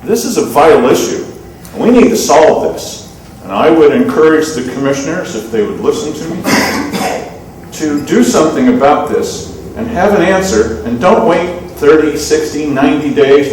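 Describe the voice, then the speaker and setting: A man's voice: fairly high pitch, darkish tone. A man giving an insistent, admonishing public comment, as a citizen addressing a meeting. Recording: echoey room, distant mic, faint noise.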